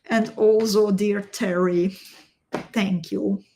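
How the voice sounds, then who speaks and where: Casting note: woman, unhurried craft teacher, friendly live-stream chatter with viewers, steady mid-range voice. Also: mid-range voice, a little hiss or hum, poor audio. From Slovakia. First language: Hungarian. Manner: slow, step-by-step instructions, chatting with viewers. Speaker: beading instructor.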